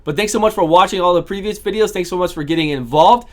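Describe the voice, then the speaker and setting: A man casually commentating his own gameplay, talking through each battle and repeating his phrases, his voice starting to go hoarse. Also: high voice, fast. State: voice going hoarse. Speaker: gaming channel host.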